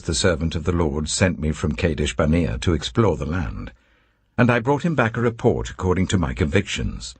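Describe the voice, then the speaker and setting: A man's voice: low-pitched, husky, no room sound, exaggerated voice. A British man voicing a vigorous, devout old warrior in his eighties in an audiobook, earnest and emphatic. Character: vigorous eighty-five-year-old male warrior elder, proud and devout.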